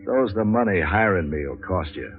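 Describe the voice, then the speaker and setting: An actor playing a cynical, wisecracking private investigator in deadpan, sardonic 1940s radio noir style. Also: male voice, low voice, normal speed, a little hiss or hum. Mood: dry, sardonic. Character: cynical, wisecracking hard-boiled male private investigator narrating his case. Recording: muffled old 1940s radio recording, music.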